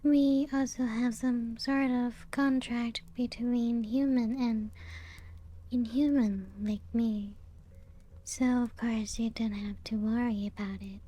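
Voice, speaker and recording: Slow, soft-spoken vampire lady, acted by a woman. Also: a little high, steady tone. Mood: teasing, veiled menace. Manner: slow, hushed, often breaking into whispers and soft chuckles. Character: playful, teasing female vampire showing guests her ancient castle. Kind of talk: soft-spoken ASMR roleplay. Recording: music playing behind the voice. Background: background music.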